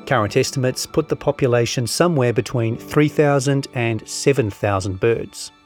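A man's voice: mid-range voice, moderate pace. New man narrating over music. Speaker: narrator of a long-form article. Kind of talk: scripted narration of journalism.

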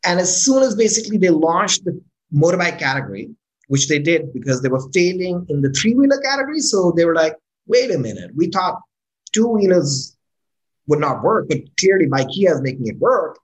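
A startup founder gives a casual interview. The pitch moves a lot, and the voice is animated and expressive. The speaker often restarts words and leans on tag questions like "right?" to keep the listener in step. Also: a little fast, poor audio. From Pakistan.

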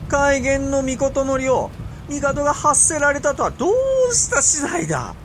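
An actor playing an imperious, impatient and suspicious medieval Japanese ruler in a period audio drama, with theatrical, drawn-out emphasis. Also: male voice, very high-pitched, very animated, slight background noise, heavily acted. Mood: imperious, displeased. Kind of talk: period radio drama acting in archaic speech.

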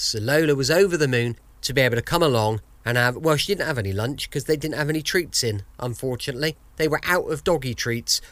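A man's voice: fairly high pitch, fairly bright tone, no room sound. A British man hosting a podcast, chatting casually as he introduces the show from his home. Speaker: podcast host. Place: at home, dry sound.

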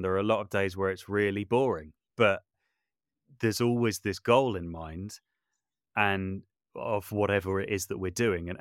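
A man's voice: lowish voice, no echo, studio quality. A man speaking casually as an interview guest, thoughtful and self-deprecating, often circling back to rephrase what he says. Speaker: interview guest, composer and voice artist. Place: small brick outbuilding home studio.

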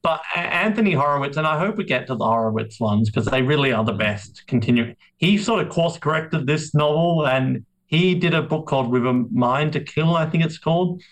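A man giving opinions as a podcast co-host, emphatic and talking in halting bursts. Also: fairly high pitch, lively intonation, low-quality recording. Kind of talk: casual opinionated discussion.

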